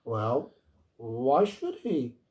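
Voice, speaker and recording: A man's voice: medium pitch, sing-song, very slow, darkish tone. A man playing a stubborn, dismissive husband and father in a storybook reading, blustering and emphatic. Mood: defensive.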